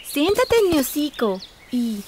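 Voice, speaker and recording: Storybook vixen: high, syrupy, wheedling, slow and drawn out. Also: female voice, very animated, darkish tone, slight background noise. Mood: sly, wheedling. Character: sly, flattering, sugary female fox. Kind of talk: animated fairy tale dub.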